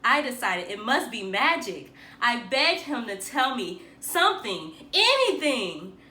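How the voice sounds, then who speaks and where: Animated, high-voiced woman reading to children. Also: unhurried. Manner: animated, dramatic emphasis for young listeners. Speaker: teacher reading aloud. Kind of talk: expressive children's picture book read-aloud. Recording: roomy echo, faint background noise.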